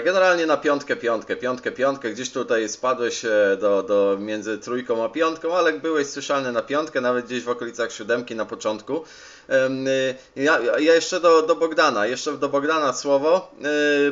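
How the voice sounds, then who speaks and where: A man talking casually as a radio operator on a contact, with a hesitant, halting delivery, recorded on a phone indoors. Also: on the high side, fairly bright tone. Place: small indoor room at home. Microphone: handheld phone filming the radio. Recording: dull, lo-fi phone video sound.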